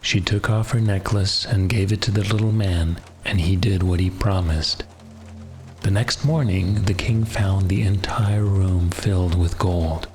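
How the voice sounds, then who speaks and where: A man reading a scripted fairy tale as an audiobook narrator, in a measured, hushed bedtime manner. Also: sits low, fairly even intonation, slight background noise. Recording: music behind the voice. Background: music.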